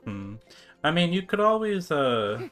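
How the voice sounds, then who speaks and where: A man's voice: a little high, big pitch swings, measured pace, a bit bright. A man streaming a game and chatting casually, thinking aloud in a laid-back manner. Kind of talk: casual livestream chat. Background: game music.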